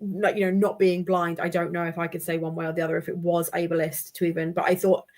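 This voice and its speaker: A woman's voice: lowish voice, flat delivery, fast, a little mellow. A woman on a book discussion panel, chatting casually and thoughtfully as she works out her opinions.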